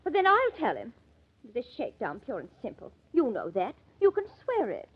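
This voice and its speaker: A muffled vintage radio recording carries a defensive, glamorous actress under suspicion. Her voice is high and highly animated, with emphatic, sharp-tempered delivery in the manner of 1950s mystery drama. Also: normal speed, slightly rough, heavily acted. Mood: indignant, pleading.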